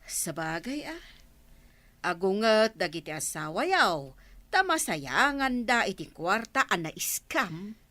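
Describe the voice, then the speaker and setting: Actress as blunt scolding village friend, Ilocano Filipino accent, animated pitch, slow delivery, radio comedy drama. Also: female voice, no room sound, heavily acted. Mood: blunt reproach.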